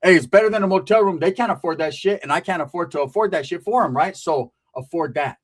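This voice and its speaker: A man's voice: high voice, fast talker, breathy edge. A man telling a rambling, profane comic story about family, tagging his lines with "right?".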